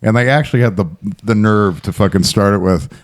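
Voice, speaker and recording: A man's voice: lowish voice, brisk. A man co-hosting a podcast, riffing playfully in crude, swearing banter. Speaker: podcast co-host.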